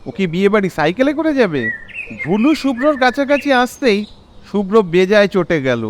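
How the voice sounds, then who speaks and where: Bengali guy telling a cartoon story, high voice, really animated, some noise behind him. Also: moderate pace. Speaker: narrator. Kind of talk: dramatic story narration for a Bengali horror cartoon. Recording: clear background noise.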